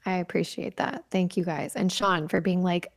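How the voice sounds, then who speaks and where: Young adult woman, podcast guest; casual and earnest, laughs easily, slightly low voice, dead-dry room. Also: steady tone, normal speed, creaky, no echo. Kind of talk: casual interview chat.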